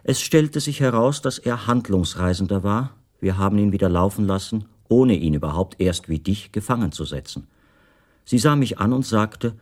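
Deep-voiced captive writer. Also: male voice, expressive, moderate pace. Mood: composed, precise. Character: captive male writer recounting his imprisonment, precise, composed. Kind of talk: first-person radio drama narration.

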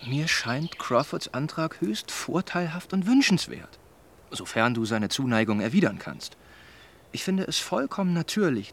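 An actor playing an earnest, well-meaning young gentleman in a German radio drama, speaking in a measured, formal, persuading way. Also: medium pitch, slight background noise. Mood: reassuring.

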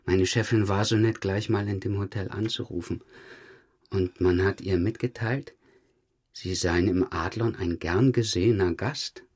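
An actor playing a dogged police detective questioning a suspect in a German crime audio drama, matter-of-fact and dryly pointed. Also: male voice, sits low, fairly even intonation, a little slow, a little mellow, breathy edge. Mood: controlled, pointed. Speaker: police investigator.

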